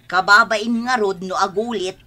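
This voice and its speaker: Sharp, forceful proud mother played by a woman. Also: medium pitch, steady tone, normal speed, close to an everyday voice. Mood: defensive, worried. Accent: Ilocano. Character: proud, scornful, doting mother, quick to argue. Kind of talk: radio drama acting.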